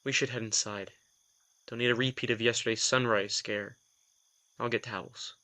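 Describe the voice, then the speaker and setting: A gruff, defensive young vampire who answers in short, prickly bursts, played by a man. His voice is bright and forceful, and his pitch sits in a middling range. Audio drama acting. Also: steady tone, a little hiss or hum, natural voice. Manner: terse, prickly, quick to snap.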